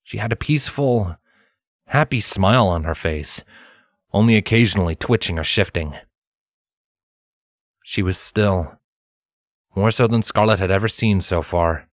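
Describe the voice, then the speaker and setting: A man's voice: on the low side, measured pace, pauses often, no echo, clean studio sound. A man narrating fiction as a storyteller, reading from a script in a dramatized audiobook style.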